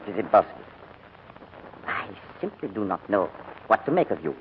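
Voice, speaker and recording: Coldly menacing German major, old radio. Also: male voice, sits low, sing-song, a little fast. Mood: puzzled, stern. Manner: clipped, coolly menacing, formal. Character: cold, menacing German army major interrogator. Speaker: actor in a radio drama. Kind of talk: 1940s radio drama acting. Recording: dull, noisy 1940s radio recording.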